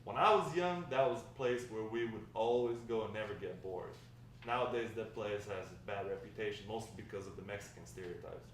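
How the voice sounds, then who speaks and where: A man playing a nostalgic, talkative Mexican-American grandfather in a table read, warm and encouraging, rambling with hesitant pauses. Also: on the low side, close to an everyday voice. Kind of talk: amateur table read of a script. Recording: echoey room, distant mic, faint noise.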